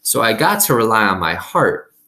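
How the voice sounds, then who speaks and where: A man's voice: fairly low pitch, very animated, bright, forceful tone, pitched above the performer's own voice, heavily acted. A man voicing a kindly old woman with failing eyes and folksy rural speech in a storybook read-aloud. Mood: gentle, earnest. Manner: folksy rural dialect, drawn-out phrases.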